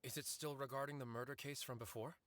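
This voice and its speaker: An actor playing a wary, weary, guarded gentleman suspect in a video game. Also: medium pitch, steady tone, quick pace, distant mic, slight background noise, lightly acted. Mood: wary, resigned. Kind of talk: video game character acting.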